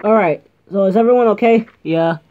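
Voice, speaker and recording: A man's voice: high voice, fairly quick, noisy background. Young man voicing toys, lo-fi. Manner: switches between many silly put-on voices. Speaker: toy video creator voicing many characters. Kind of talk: homemade toy puppet show. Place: home room with toys on the floor. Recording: dull lo-fi home recording.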